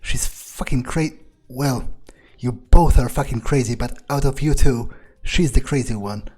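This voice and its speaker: A man's voice: mid-range voice, measured pace, a little mellow, husky. A man giving in-character commentary on a video game, thinking aloud in a blunt, muttering, profane way. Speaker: gamer playing in character. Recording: voice over game background music. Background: music.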